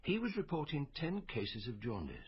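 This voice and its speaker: Radio drama doctor, male: reflective, digressive, faintly pompous, expressive pitch, lo-fi sound. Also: normal speed. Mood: thoughtful, dry. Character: educated, musing male doctor and lone amateur investigator. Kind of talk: naturalistic radio drama acting. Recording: dull lo-fi radio recording.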